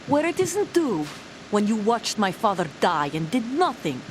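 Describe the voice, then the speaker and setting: An actress playing a bitter, suspicious young mercenary soldier in a video game, confrontational and accusatory. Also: female voice, sing-song, moderate pace, natural voice. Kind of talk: video game cutscene acting. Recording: noisy rumbling ambience behind.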